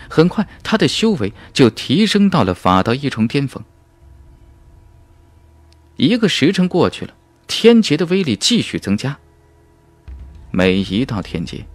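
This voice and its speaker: A man with a fairly low voice narrates fantasy fiction with dramatic emphasis. His pitch swings widely as he tells the story in measured phrases. The sound is dry and close, like a studio audiobook. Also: dry recording.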